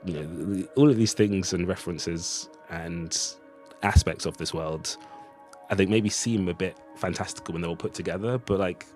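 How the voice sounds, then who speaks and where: Deep-voiced man over music. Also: moderate pace, a little mellow. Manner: thoughtful, discursive. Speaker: writer of a radio play, interviewee. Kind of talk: reflective conversational interview.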